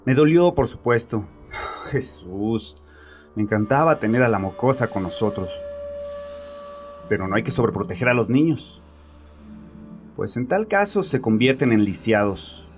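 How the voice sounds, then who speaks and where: A man's voice: mid-range voice, sing-song, measured pace, long pauses, soft background music. A man playing a bitter, domineering grieving father who confides in a therapist with agitated, sarcastic arrogance in a horror audio drama. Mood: agitated, self-justifying.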